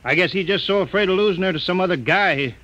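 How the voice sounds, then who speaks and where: An actor playing an excitable, defensive young spaceship crewman in an old radio drama. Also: high-pitched, quick pace. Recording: dull old radio recording.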